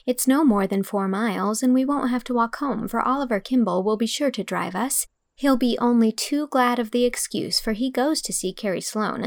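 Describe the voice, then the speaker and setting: A woman voicing a kind young teacher who speaks gently and encouragingly. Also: a little high, no echo, studio quality, natural voice.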